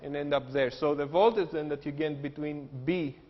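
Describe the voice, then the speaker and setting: A man's voice: fairly high pitch, fairly even intonation, normal speed. A man with a Greek accent, an engineering professor giving a technical lecture with jokes and frequent fillers. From Greece. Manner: joking, explanatory, frequent uh fillers. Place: lecture hall. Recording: dull lo-fi hall recording with long reverb.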